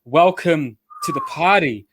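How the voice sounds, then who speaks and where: A man's voice: a little high, huge pitch swings, measured pace, a bit bright, slight background noise. A man with a New Zealand accent hosting a casual radio chat show, upbeat and loose with slang, often restarting his sentences. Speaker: show host.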